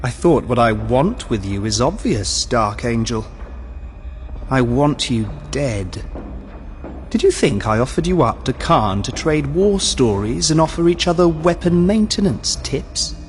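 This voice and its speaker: Man acting as a slow, taunting possessed-corpse demon. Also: mid-range voice, lively intonation, faint music behind, natural voice. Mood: mocking. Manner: mocking, verbose, savouring slow threats. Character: sinister, taunting male demon possessing a dead soldier. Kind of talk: audio drama villain acting.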